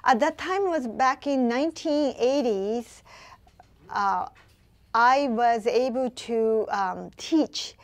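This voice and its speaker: A Japanese woman in her fifties with a Japanese accent, answering interview questions earnestly with many pauses and fillers. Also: a little high. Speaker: local businesswoman.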